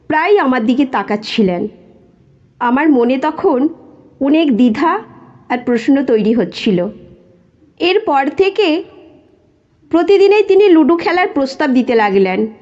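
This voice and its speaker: Woman narrator, slow measured pace, very varied intonation, first-person dramatic storytelling, muffled lo-fi audio. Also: high-pitched, a little mellow. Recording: dull lo-fi sound.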